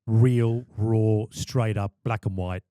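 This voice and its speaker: Passionate emphatic man with a dark voice. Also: on the low side, steady tone, slow, clean tone, dry recording, studio quality. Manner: passionate, emphatic, repeats words when starting. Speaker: podcast guest, wedding MC. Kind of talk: casual podcast chat.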